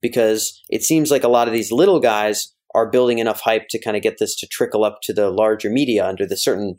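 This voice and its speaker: A man's voice: on the low side, fast talker, a little mellow. Chatty American male podcast host. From United States. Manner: wordy, informal, thinks aloud while paraphrasing. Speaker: podcast host interviewing a guest. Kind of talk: conversational interview.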